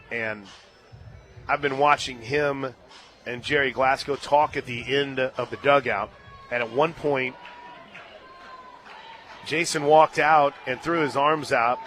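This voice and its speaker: A man doing live radio play-by-play of softball, conversational between pitches and excited on the action. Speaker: play-by-play announcer. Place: radio booth at an outdoor ballpark. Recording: crowd and stadium music behind. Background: crowd, loudspeaker music.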